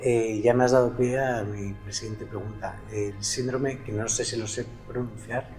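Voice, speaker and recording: A man's voice: mid-range voice, steady tone, moderate pace, a little mellow, soft background music, rough recording. A male interviewer who asks friendly questions that prompt his guest to go on. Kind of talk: casual interview.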